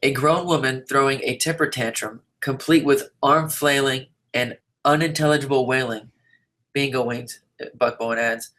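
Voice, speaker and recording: A man reading written interview answers aloud in a measured, articulate, declarative way. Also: medium pitch, fairly even intonation, noisy background. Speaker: reader of an interviewee's typed answers.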